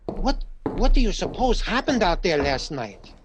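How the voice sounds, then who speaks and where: A noisy radio drama recording of a man playing a fretful homeowner. His pitch jumps around a lot as he speaks in an anxious, fussy, questioning way. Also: big pitch swings, measured pace. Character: fretful, easily rattled male owner of haunted house.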